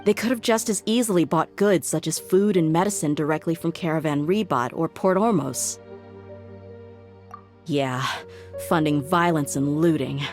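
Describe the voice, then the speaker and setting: Brief: a tough young mercenary woman, low and steady, blunt, loyal. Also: normal speed, soft background music. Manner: steady, reasoning aloud, blunt. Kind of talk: game dialogue.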